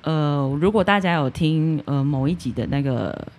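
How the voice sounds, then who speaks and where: A woman's voice: low voice, normal speed, darkish tone. A woman with a mature, big-sister type of voice, chatting casually as a guest on a podcast. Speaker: podcast guest and audio drama creator. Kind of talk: casual interview chat.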